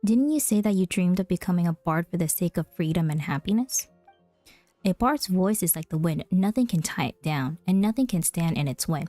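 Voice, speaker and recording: A woman's voice: on the low side, lively intonation, brisk, warm tone, no echo, soft background music, lightly acted. A woman voicing a breezy, encouraging young male bard in a video game, easygoing and playful.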